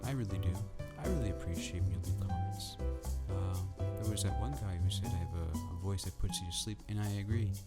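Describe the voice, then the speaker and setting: A man ranting casually to camera about football in a soft, calm, drowsy way. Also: sits low, a little fast, few pauses, over loud music. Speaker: football vlogger.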